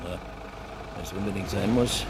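An actor playing a gruff, grudging self-employed local driver, grumbling reluctantly, in a German radio crime drama. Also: male voice, deep voice, moderate pace, natural voice. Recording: noisy outdoor scene with engine.

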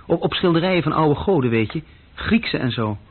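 A man's voice: fairly high pitch, expressive, fairly quick, slightly rough. An actor playing a restless young man telling of his adventures in a conversational radio-drama style. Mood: earnest, confiding. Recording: dull, lo-fi old radio recording.

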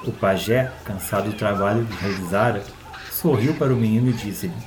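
A man's voice: lowish voice, fairly quick. A man with a Brazilian accent reading a scripted story as its narrator.

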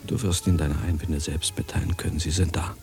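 A man's voice: rumbling low, steady tone, brisk, darkish tone, soft background music. A man playing a gloomy, philosophising shoemaker in a radio play, sententious and fatalistic.

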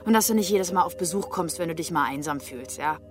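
An actress playing a tough street prostitute, mocking and hard-edged toward a former lover, in a German radio drama. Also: female voice, on the low side, animated, quick pace, over music, natural voice. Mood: bitter, teasing. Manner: sardonic, teasing, hard-edged.

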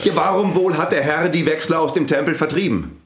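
A man's voice: fairly quick, natural voice. An actor playing a domineering priest who preaches about the language he invented, pompous and zealous. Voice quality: pompous, preaching, sweeping pitch. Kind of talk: radio play acting. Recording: echoey studio radio play.

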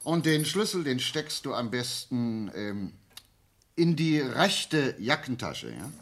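An actor playing a shrewd, self-assured amateur detective in a German radio play, reasoning aloud deliberately with theatrical swings in a gravelly, husky voice. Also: male voice, mid-range voice, sing-song, a bit bright, a little hiss or hum, exaggerated voice. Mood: commanding.

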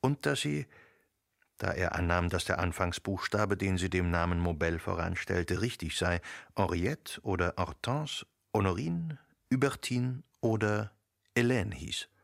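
A man narrating a radio drama in a literary, dramatic style, with deliberate phrasing and emphatic pauses. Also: sits low, gravelly, no echo, clean studio sound.

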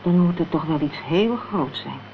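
A woman's voice: deep voice, lively intonation, moderate pace. A woman acting a concerned, questioning companion in a Dutch radio crime drama. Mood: puzzled, concerned. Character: worried, inquisitive female companion in a crime thriller. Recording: dull, noisy old radio play recording.

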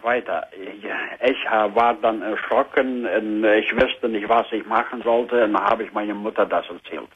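A man in his fifties with a Dutch accent, calling a radio show and searching haltingly for German words. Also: on the low side, steady tone, breathy edge. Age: 50s. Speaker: caller. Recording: tinny phone line.

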